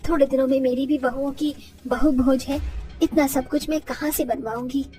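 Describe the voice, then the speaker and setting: A woman's voice: high-pitched, steady tone, moderate pace, dark tone, lightly acted. A woman voicing a chatty, modest neighbourhood woman in a moral story, talking conversationally. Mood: worried.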